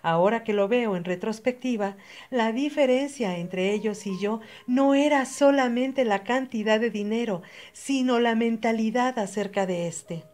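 A woman's voice: a little high, a little slow, a little mellow. A young adult Mexican woman with a Mexican Spanish accent giving a direct, earnest motivational voice-over, speaking straight to the listener. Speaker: channel host.

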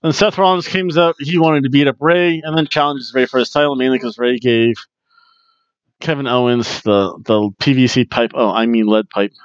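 A man's voice: medium pitch, fairly even intonation, normal speed, clear, resonant tone, dry recording, highs cut off. A man in his forties casually recapping wrestling matches from a small home room, opinionated and chatty, on a headset microphone. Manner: chatty and opinionated, bursts into loud mock booing. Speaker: wrestling review host. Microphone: headset mic on video webcam.

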